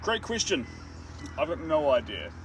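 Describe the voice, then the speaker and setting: A man's voice: on the high side, moderate pace. A young man with a New Zealand accent, an artist chatting casually to viewers during a live stream, rambling and self-mocking. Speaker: artist livestreaming. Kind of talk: casual live chat while painting. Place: outdoors. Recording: distant mic, steady outdoor and traffic noise.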